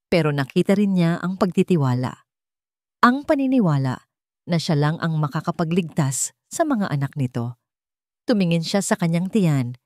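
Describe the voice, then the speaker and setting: Woman storyteller, deep for a woman, soft dark tone, dramatic scripted narration, super dry recording. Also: no room sound.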